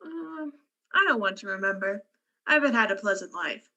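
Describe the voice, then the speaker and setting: A woman acting a reserved, bright-voiced scientist. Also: medium pitch, animated, moderate pace, dull audio, heavily acted. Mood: guarded. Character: reserved, detached female scientist, war survivor. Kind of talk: radio play acting.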